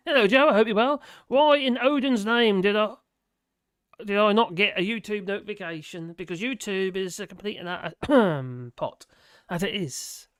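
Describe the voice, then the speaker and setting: Hoarse streamer chatting live, meandering and self-deprecating, mid-pitched, dry close sound. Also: moderate pace, a bit bright, no echo. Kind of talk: casual live-stream chat.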